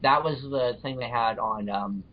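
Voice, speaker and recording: A man chatting casually as a podcast guest, giving blunt opinions in a halting, repetitive way. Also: medium pitch. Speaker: podcast guest, fan fiction reviewer. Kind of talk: casual chat. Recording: dull lo-fi voice recording.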